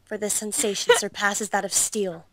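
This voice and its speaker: A woman voicing a composed, quietly menacing young swordswoman, speaking in a hushed, measured way for an amateur visual novel dub. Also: mid-range voice, steady tone, slight background noise, lightly acted, poor audio. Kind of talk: visual novel fandub acting.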